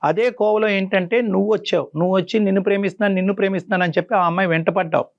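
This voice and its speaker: Brief: male doctor-counselor, quick and gravelly, earnest and persuasive, lo-fi dull recording. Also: high voice, fairly even intonation. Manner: earnest, persuasive, pleading repeatedly. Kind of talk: on-air counseling talk. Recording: dull lo-fi sound.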